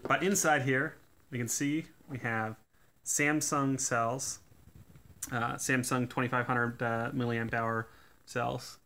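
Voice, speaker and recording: A man talks casually as he takes gear apart at a workbench, his voice bright and forward. He fills pauses with "uh" and piles on technical detail. A faint hiss sits under the recording from the camera mic above the table. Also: medium pitch. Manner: relaxed, many "uh" fillers, technical detail. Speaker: DIY tool reviewer. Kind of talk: casual hands-on teardown talk. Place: indoors at a workbench.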